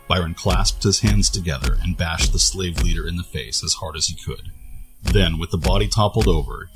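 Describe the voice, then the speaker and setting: Male narrator, low-pitched, scripted fantasy storytelling, soft music behind. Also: poor audio.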